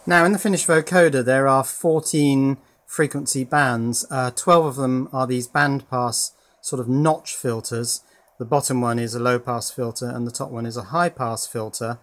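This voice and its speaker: A man's voice: medium pitch, fairly even intonation, moderate pace, fairly bright tone. Man explaining a hands-on electronics demo. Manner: explanatory, matter-of-fact. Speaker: electronics hobbyist presenter. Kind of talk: informal hands-on demonstration.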